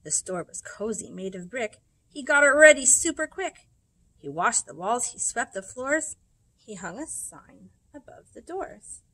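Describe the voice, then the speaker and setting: Lady reading a rhyming kids book, slow n dramatic, really swoopy pitch. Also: sing-song, a bit bright, rough recording. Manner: dramatic, deliberate storybook phrasing. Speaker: librarian reading picture books aloud. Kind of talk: expressive rhyming read-aloud for children. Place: indoors in a library room.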